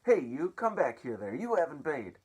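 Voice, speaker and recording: A man's voice: on the high side, sing-song, moderate pace, darkish tone, husky, pitched above the performer's own voice. A man voicing a cruel, greedy middle-aged woman who barks orders in a storybook. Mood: bossy shouting. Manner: barking, snapping orders.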